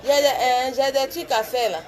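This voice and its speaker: A woman, talking to camera from an office with a cold, preaching and laughing in loud, lively street talk. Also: sits high, normal speed, over music. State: has a cold. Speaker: vlogger.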